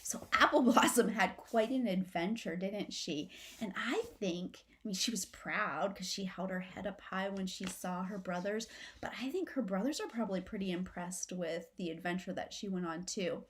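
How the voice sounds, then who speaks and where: A woman's voice: mid-range voice, normal speed. A middle-aged woman reading a children's story aloud expressively, like a teacher, in a room at home. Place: small furnished room at home, indoors.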